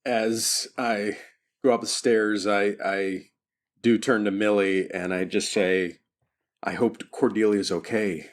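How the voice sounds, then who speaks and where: A man's voice: lowish voice, steady tone, slow pace. A man playing a tabletop roleplaying game, talking casually as he describes his character's actions. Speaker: player in an audio roleplaying show.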